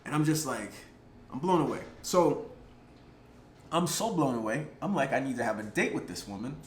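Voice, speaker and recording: Casual male vlogger, filler-heavy, animated storyteller, echoey room. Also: mid-range voice, normal speed. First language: English. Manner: chatty, lots of "like" and "um" fillers, laughs between thoughts; animated, gets louder at exciting moments. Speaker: vlogger telling a personal story. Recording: roomy echo, faint background noise.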